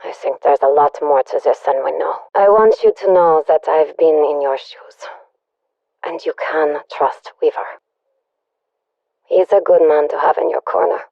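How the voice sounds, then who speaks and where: A woman's voice: sits low, unhurried, vocal fry, no echo, full character voice. An actress playing a wry, secretive German woman spy, confiding in a scripted video game audio log through a radio filter. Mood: sober, sincere. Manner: wry, confiding, dryly joking. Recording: dull lo-fi radio recording.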